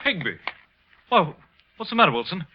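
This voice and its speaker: Everyday office colleague, lightly high and lively, muffled 1940s radio sound. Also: male voice, normal speed, slight background noise. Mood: puzzled, concerned. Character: startled, matter-of-fact male office coworker. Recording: dull, muffled vintage radio recording.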